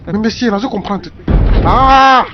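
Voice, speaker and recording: A man voicing an excitable, nervous cartoon animal, stammering and exclaiming. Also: very high-pitched, unhurried. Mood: flustered. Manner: exclaiming, stammering, repeats words. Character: Rango, small excitable nervous male animal newcomer. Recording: muffled lo-fi audio, music behind.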